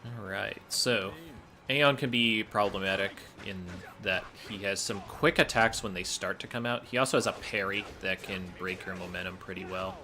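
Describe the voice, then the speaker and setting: Deep, bright-voiced male gameplay commentator. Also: moderate pace. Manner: matter-of-fact, instructive. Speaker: gamer commentator, speedrunner. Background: faint game music.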